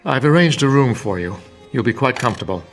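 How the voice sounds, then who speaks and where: A man's voice: medium pitch, lively intonation, natural voice. Actor as formal, insistent male professor, music underneath. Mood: controlling. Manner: formal, measured, authoritative. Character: smooth, paternalistic, insistent male state psychiatrist professor. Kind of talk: radio drama acting.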